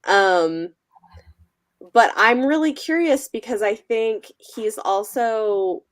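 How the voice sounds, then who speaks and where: A woman's voice: medium pitch, no echo, clean studio sound. A woman co-hosting a casual book discussion, thoughtful and wry, full of likes and restarts, on a video call. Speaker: book club co-host.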